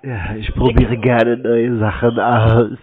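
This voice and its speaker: A man's voice: mid-range voice, little pitch movement, takes it slowly. A man in a casual phone chat, giving short, naive-sounding answers as he plays along. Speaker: prank call recipient playing along. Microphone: telephone. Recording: tinny narrow phone line.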